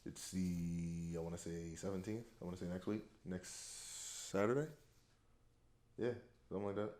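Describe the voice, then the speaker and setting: A young man with a low, deep, monotone voice, talking in a relaxed, slang-heavy way as a podcast guest. His speech is unhurried and easygoing, and it sounds a little roomy and echoey. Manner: laid-back slang, repeats "you dig". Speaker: podcast guest, rapper and label owner. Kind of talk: casual chat. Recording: echoey room.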